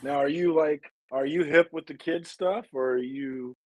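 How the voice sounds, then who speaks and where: A deep-voiced man in his forties, chatting loosely as a co-host. His delivery is wry and rambling, and the recording is dry and close with no room sound. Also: normal speed, darkish tone, no room sound. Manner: laid-back, rambling, wry. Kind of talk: casual chat.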